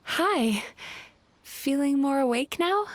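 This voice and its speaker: A woman voicing a gentle young yokai dream therapist in a video game, polite, soothing and earnest. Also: sits high, expressive, slow pace, dry recording. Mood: friendly, explaining.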